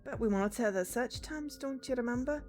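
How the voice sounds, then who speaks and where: A woman reader puts on a brisk female agent for a visual novel. She sounds casual and direct, her voice lifted high. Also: a little mellow, faint music behind, natural voice. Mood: teasing, gossipy. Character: Darla, brisk female agent and work partner.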